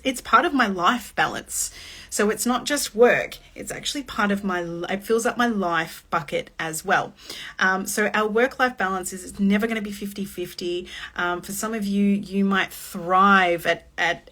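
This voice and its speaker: A woman in her late thirties hosting a chatty, expressive motivational live-stream talk. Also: mid-range voice. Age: 30s. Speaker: host.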